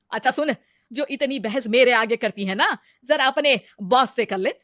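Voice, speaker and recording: A woman playing a sharp-tongued, sarcastic Indian mother-in-law in a comedy story, taunting and complaining melodramatically. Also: mid-range voice, no room sound, clean studio sound, close to an everyday voice. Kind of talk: exaggerated comedy story acting.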